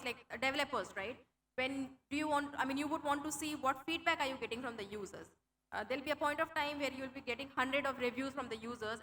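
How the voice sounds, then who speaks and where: A woman's voice: high voice, fairly bright tone, a little hiss or hum. A young woman speaking English with an Indian accent, her first language Hindi, giving a conference tech talk with a live demo, explaining things with many fillers and restarts. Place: conference hall.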